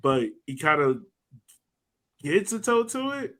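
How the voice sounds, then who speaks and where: A man hosting a soccer podcast, speaking loosely with lots of "like" and quick restarts. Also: on the high side, sing-song, fairly bright tone. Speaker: podcast host. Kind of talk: casual soccer podcast chat.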